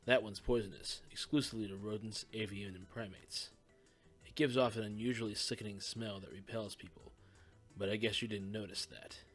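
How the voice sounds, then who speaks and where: A man's voice: fairly low pitch, steady tone, a little fast, a bit bright, soft background music, lightly acted. A man voicing a knowledgeable hiker in an audio story, explaining things in a precise, matter-of-fact way. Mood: dry warning. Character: steely-eyed, knowledgeable, sympathetic man on a nature hike. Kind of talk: audiobook character acting.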